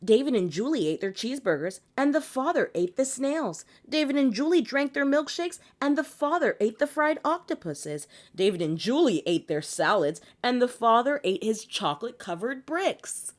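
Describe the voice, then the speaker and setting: Female storybook reader, expressive and theatrical, with swooping intonation for young listeners. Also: fairly high pitch, moderate pace, few pauses. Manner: animated, theatrical delivery. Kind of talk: expressive picture-book read-aloud.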